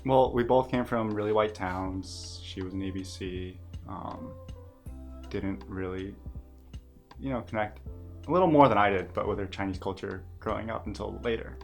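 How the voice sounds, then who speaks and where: A man's voice: lowish voice, normal speed, gravelly, soft background music. A young man, a guest on a casual podcast, talking in an easygoing, hesitant way with many fillers. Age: young adult.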